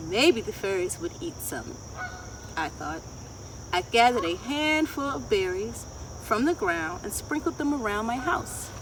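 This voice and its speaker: A middle-aged woman reading a picture book aloud to children, expressive and deliberate, often putting questions to her listeners. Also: medium pitch. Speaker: children's storyteller. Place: outdoors in a wooded garden. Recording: outdoor, distant mic, ambient insect noise. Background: insects and outdoor ambience.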